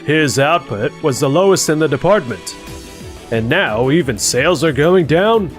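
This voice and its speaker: A man's voice: on the high side, expressive, moderate pace, faint music behind, lightly acted. A man playing a dismissive, blustering company boss in a manga dub, curt and condescending. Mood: baffled, irritated. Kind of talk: scripted manga dub acting.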